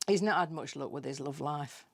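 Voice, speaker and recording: A woman's voice: low-pitched, normal speed. A British woman reading a scripted comic monologue in a dry, chatty, confiding manner, like gossip. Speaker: storyteller reading own comic tales.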